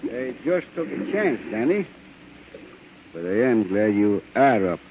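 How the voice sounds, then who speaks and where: Sly waterfront blackmailer, tinny old radio. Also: male voice, on the low side, sing-song. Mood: oily politeness. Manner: halting foreign-English phrasing, insinuating. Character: sly Portuguese male waterfront blackmailer, falsely friendly. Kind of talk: vintage radio drama acting. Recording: tinny, noisy vintage radio recording.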